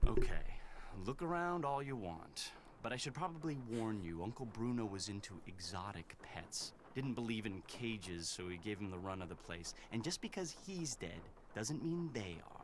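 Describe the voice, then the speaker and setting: A man's voice: on the low side, lively intonation, normal speed, a little hiss or hum, lightly acted. An actor playing a wry, skeptical young man in a mystery video game, speaking in a dry, offhand way. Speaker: game character.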